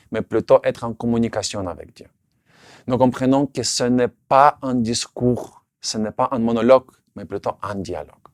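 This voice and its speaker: A man who speaks French, his language, giving an earnest, measured sermon. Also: mid-range voice, dry recording, clean studio sound. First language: Russian. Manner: earnest, measured, slight restarts on words. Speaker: preacher.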